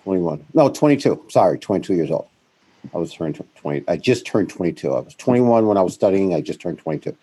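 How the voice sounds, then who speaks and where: A middle-aged man speaks as an interview guest in a very low, mellow voice with a husky edge. He rambles and corrects himself, and he livens up when he mimics other people. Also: animated, fast, poor audio. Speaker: guest, founder of a financial firm. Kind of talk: conversational interview storytelling.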